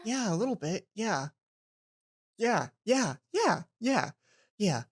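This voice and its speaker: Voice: medium pitch, very animated, takes it slowly, breathy edge, full character voice. A let's play host voicing an earnest, easily flustered teenage schoolgirl heroine from a visual novel, hesitant and questioning. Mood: unsure.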